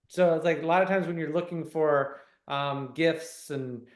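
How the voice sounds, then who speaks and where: A man's voice: fairly high pitch, fairly even intonation, a little slow, roomy sound. A man hosting a video call, asking a guest friendly, rambling questions with plenty of fillers. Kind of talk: casual chat on a video call.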